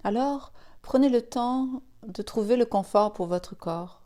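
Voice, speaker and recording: A woman leading a guided yoga nidra relaxation, speaking gently and soothingly in short, spaced phrases. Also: a little high, measured pace. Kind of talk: slow guided relaxation meditation.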